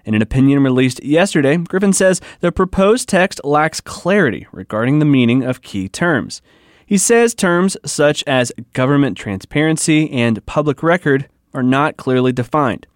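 A man's voice: fairly high pitch, lively intonation, moderate pace, dry recording, clean studio sound. A man reporting the news in a scripted narration style.

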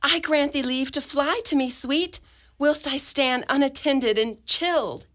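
Woman acting an impatient, high-voiced young noblewoman in love. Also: measured pace, fairly bright tone. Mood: urgent. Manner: theatrical, imperious, archaic phrasing. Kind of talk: amateur radio drama in Shakespearean verse.

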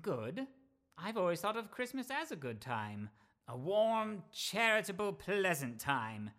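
A man playing a cheerful, warm-hearted young nephew in a radio play, speaking in a hearty, earnest, declamatory way. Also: high voice, big pitch swings, unhurried, heavily acted. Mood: earnest, fervent. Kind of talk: theatrical radio play acting.

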